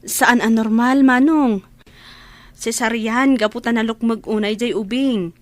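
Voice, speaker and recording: A woman's voice: a little high, steady tone, unhurried, no room sound, close to an everyday voice. An actress playing a helpful, earnest young woman in an Ilocano audio drama. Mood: warm, reassuring. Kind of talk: Ilocano radio-style audio drama acting.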